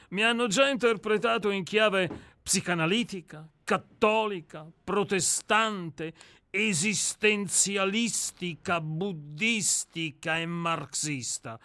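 A man acts out a haughty famous author in a radio drama. The voice is bright and forceful, a little high for a man, in a dry studio sound. He is curt, worldly and used to giving orders. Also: no room sound, full character voice. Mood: mollified, ironic. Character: imperious, irritable celebrated writer, haughty and worldly. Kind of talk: radio play acting.

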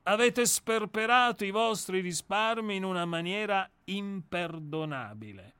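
A man's voice: high-pitched, measured pace, pushed and bright, clear, resonant tone, dry recording. A man reading a radio play aloud, voicing a cynical, menacing novelist with a grandiloquent, mocking manner. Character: cynical, menacing male novelist, coldly theatrical. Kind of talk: dramatic reading.

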